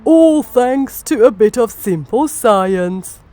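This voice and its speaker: A woman's voice: a little high, lively intonation, slow, dark tone, no echo, pitched above the performer's own voice. A woman voicing a cheerful father pig who teaches children in a storybook, instructive and encouraging. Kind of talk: children's storybook read-aloud.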